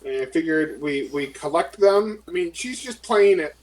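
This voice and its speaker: A man's voice: on the high side, expressive, a little slow. A man chatting casually as a player in a tabletop game. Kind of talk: casual group game chat. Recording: distant from the mic.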